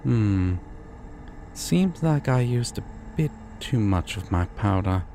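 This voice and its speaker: A man playing a courteous, formal deity of dreams in an audio roleplay, speaking to the listener with measured, theatrical pauses. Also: fairly low pitch, expressive, dark tone, slight background noise. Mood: composed, grand. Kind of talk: immersive roleplay addressed to the listener.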